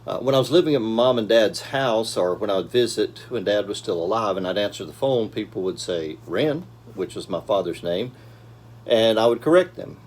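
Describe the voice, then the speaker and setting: A man in his fifties giving a casual daily devotional talk from a small office, with gentle pacing and frequent ums and uhs, recorded by a camera at desk distance. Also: on the low side, lively intonation, moderate pace. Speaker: church devotional speaker.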